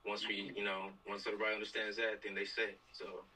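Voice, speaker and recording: A man's voice: on the low side, completely flat delivery, fast talker, sharp tone, slightly rough. A man talking casually and hesitantly on a video call, circling back to the same phrases as he gives his input. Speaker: film team member giving input. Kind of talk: casual group discussion. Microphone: video call microphone. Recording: distant, faintly noisy video-call audio.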